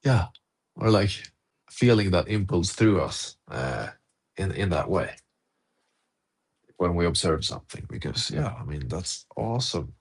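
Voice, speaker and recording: A man's voice: low-pitched, expressive, unhurried, long pauses, husky. A man hosting a casual live audio-room podcast chat, laid-back and chuckling between phrases. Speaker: podcast host.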